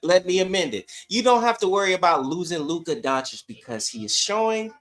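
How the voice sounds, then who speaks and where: Male sports podcast panelist, high register, conversational and insistent, repeats phrases for emphasis. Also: animated, fairly quick, a bit bright. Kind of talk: casual sports debate.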